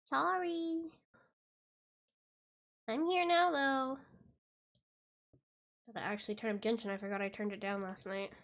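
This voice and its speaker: A woman's voice: fairly high pitch, steady tone, a little slow, long pauses, a bit bright. A woman streaming a game, talking casually and apologetically to her chat while congested and sniffly from a cold. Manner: chatty, apologetic, easygoing.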